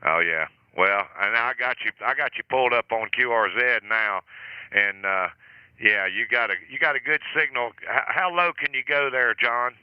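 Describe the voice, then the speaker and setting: A man acting as net control on an amateur radio net, chatting in a relaxed, friendly way and chuckling now and then. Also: mid-range voice, normal speed, clean tone, lo-fi sound.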